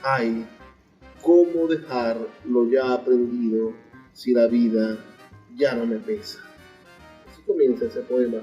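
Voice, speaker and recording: A man's voice: mid-range voice, steady tone, slow, pauses often, mellow. A man with a Venezuelan accent hosting a literary radio interview, speaking as presenter and reader. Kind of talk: literary radio interview, reading poetry aloud at times. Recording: dull lo-fi radio sound, music behind. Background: music.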